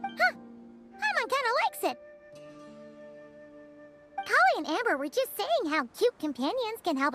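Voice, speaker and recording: A woman voicing a tiny, childlike, excitable floating fairy companion with a squeaky voice in an anime-style video game. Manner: bubbly, refers to self in third person. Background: background music.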